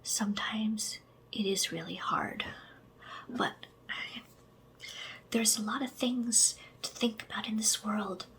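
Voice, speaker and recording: A woman whispering slow, soft ASMR affirmations straight to the listener, with chatty asides and a gentle sense of humor. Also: medium pitch, creaky, rough recording. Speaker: ASMR creator.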